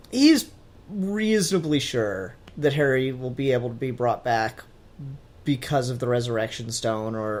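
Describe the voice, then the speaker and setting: Podcast co-host, medium-pitched voice, casual fiction discussion, analytical, conversational. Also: darkish tone.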